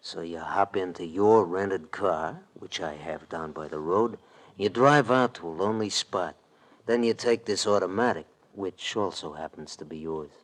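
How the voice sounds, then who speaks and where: A sly, threatening thug from an old American radio crime play. The voice sits low, and the talk is rough, slangy and ungrammatical. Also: male voice, normal speed. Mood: gloating menace. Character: menacing male gangster thug, slangy and sly. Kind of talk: 1940s radio acting. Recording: vintage radio drama recording.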